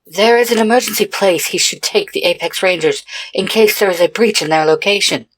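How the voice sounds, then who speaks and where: A woman voicing an earnest, commanding female team leader in an adventure story, measured and solemn. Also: medium pitch, slight background noise, pitched above the performer's own voice, lightly acted, low-quality recording.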